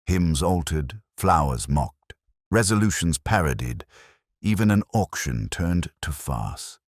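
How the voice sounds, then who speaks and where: A man voicing a calm, mild police inspector who makes measured, gently pointed speeches in a detective audiobook. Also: deep voice, dry recording, studio quality, full character voice. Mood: measured, revealing. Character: mild, calm male police inspector delivering quiet reveals.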